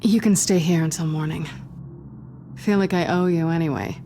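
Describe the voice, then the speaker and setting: A woman playing a sly, guarded young cat burglar with dry wit in a video game, teasing and sardonic in an intimate, low-key way. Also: steady tone, normal speed, warm tone, faint music behind. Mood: guarded, irritated. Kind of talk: naturalistic video game acting.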